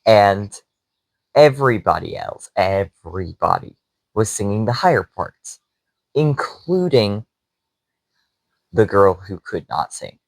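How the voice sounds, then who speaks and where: A young man co-hosting a podcast, telling an animated story in casual chat with frequent laughs. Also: lowish voice, slow pace, vocal fry, pauses often, dry recording, low-quality recording. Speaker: podcast co-host.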